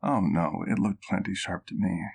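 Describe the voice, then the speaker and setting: A centuries-old gentleman vampire played by a man in an ASMR roleplay. His voice is very low with a gravelly creak. He speaks gently and formally, like a doting, wistful doctor recounting old memories in measured, literary phrases. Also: heavily acted. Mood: reflective.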